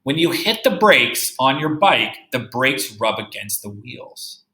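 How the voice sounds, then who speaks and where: A male grade-school teacher reads to children in a bright, highly expressive voice. He reads with deliberate emphasis, then muses aloud and puts questions straight to his young listeners. The sound is roomy and echoey. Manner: musing aloud, addressing children directly with questions. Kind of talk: read-aloud lesson with think-aloud commentary.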